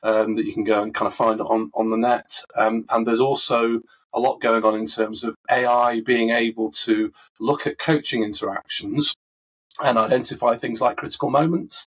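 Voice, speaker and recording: Husky-voiced man talking through coaching. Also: medium pitch, level tone, moderate pace, a bit bright, poor audio. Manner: thoughtful, many fillers and restarts. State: husky from a recovering voice. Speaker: coaching expert guest. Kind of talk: conversational interview answers.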